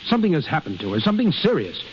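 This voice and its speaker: An actor playing a desperate, headstrong young soldier in love, urgent and defiant, in 1930s radio drama style. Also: male voice, a little high, huge pitch swings, racing. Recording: muffled, hissy 1930s radio recording.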